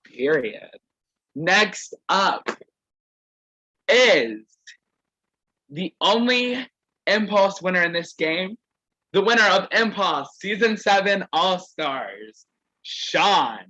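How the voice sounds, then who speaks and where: A man's voice: high-pitched, animated, slow pace, long pauses, bright, forceful tone, highs cut off. A man hosting an online game over a video call, introducing players one by one with a playful, sassy manner. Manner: playful, sassy catchphrase "period". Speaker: host of an online reality game.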